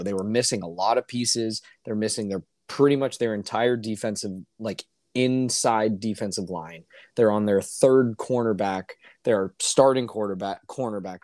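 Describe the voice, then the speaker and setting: A man co-hosting a casual sports podcast, hoarse and ranting with exasperation, his voice climbing when worked up. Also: on the low side, a little mellow, dry recording, studio quality. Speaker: podcast co-host.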